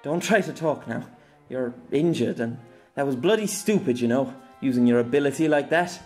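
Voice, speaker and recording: A man's voice: mid-range voice, lively intonation, normal speed, a little mellow, soft background music, lightly acted. A man playing a loyal young swordsman who pleads urgently with a fallen friend. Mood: tender. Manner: pleading, frantic.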